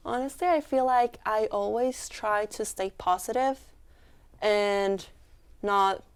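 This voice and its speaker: A young woman with a Mexican accent answers an interviewer in an earnest, heartfelt way, her speech full of casual fillers. Her voice is smooth and resonant, with a gravelly edge underneath. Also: medium pitch, takes it slowly. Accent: Mexican Spanish. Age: young adult. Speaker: student club member, interviewee.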